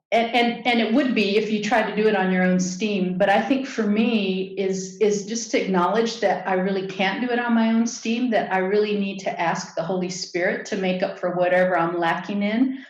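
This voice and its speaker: A woman co-hosting, sharing her faith earnestly and hesitantly, with frequent restarts. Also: mid-range voice. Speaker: co-host. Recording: echoey muffled room audio.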